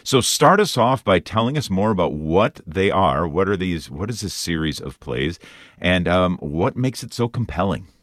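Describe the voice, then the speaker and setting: A man's voice: deep voice, sing-song, moderate pace, fairly bright tone, no echo, studio quality. A man hosting a radio show, interviewing in a casual, interested and conversational way.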